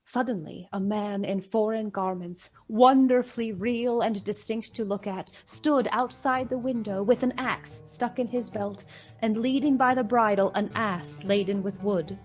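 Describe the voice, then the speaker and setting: A woman narrating a literary radio play in a measured, dramatic and deliberate way. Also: mid-range voice, soft background music, close to an everyday voice.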